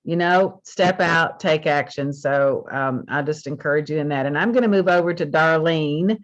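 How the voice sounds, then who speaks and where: A woman's voice: low voice, moderate pace. A woman hosts a team video call in an encouraging tone, handing the floor from one speaker to the next. Her voice comes through slightly dull and lo-fi over the call. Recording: muffled video-call audio.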